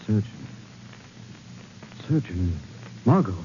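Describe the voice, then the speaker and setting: Animated gentleman sleuth. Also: on the low side, slow pace, slight background noise. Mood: urgent. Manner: clipped, insistent questioning. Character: urgent, gallant gentleman sleuth, an adult man. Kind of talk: 1940s radio acting. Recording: muffled old 1940s radio recording.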